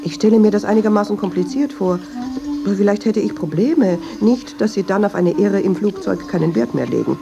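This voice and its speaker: An actress playing a brooding, persistent woman in a radio drama, speaking in an introspective, deliberate way. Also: medium pitch, expressive, gravelly, over music. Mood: earnest, conversational. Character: brooding, persistent, troubled woman who argues with herself. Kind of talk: radio drama monologue and dialogue. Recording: dull, hissy radio drama recording.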